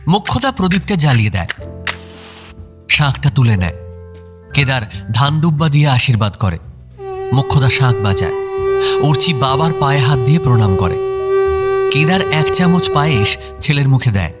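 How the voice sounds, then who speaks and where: Medium-pitched male drama narrator over music. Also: wildly swooping pitch, moderate pace, long pauses. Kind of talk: scripted audio drama narration. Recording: clear music bed behind voice.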